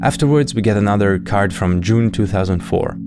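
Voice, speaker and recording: A man's voice: on the low side, a little fast. A man giving scripted video commentary as a host, with casual, wry asides. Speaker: host of a horror series breakdown video. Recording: music behind the voice.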